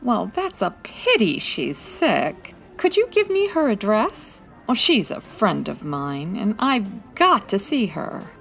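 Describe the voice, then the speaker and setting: A woman's voice: medium pitch, huge pitch swings, slow pace, creaky, hardly any pauses, fairly bright tone, soft background music, heavily acted. A woman playing a confident, wry 1940s private detective in a radio-style comedy drama, breezy and teasing. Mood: brisk, confident. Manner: breezy, teasing, self-assured.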